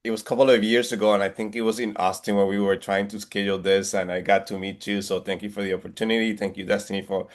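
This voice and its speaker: A man's voice: lowish voice, flat delivery, fast talker, few pauses. A man in his forties from Puerto Rico with a Puerto Rican Spanish accent, a real estate agent talking earnestly about his business and priorities. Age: 40s. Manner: earnest, motivational, with frequent restarts. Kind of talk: interview on video call.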